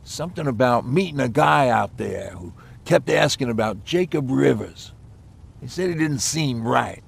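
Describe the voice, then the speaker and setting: An actor playing a wry, easygoing mechanic in a video game, with a gravelly, husky voice. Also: male voice, mid-range voice, animated, normal speed, exaggerated voice. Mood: wry, friendly. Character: easygoing resistance mechanic, wry and friendly tinkerer.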